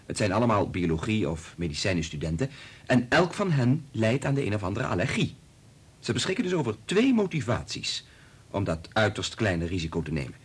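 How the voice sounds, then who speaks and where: Principled doctor in radio drama; low husky voice, earnest, firm. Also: male voice, very animated, fairly quick. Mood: firm, indignant. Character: principled, earnest male doctor and family friend. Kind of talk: Dutch radio play acting.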